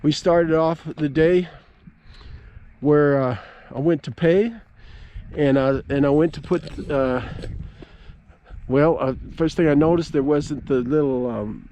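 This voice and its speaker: A middle-aged man giving a casual, halting, folksy account as he vlogs outdoors at a park. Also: a little high, mellow, lo-fi sound. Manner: halting, folksy, stop-and-start storytelling. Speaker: amateur radio operator vlogging. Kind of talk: casual rambling outdoor vlog. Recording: clear ambient noise outdoors. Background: ambient outdoor noise.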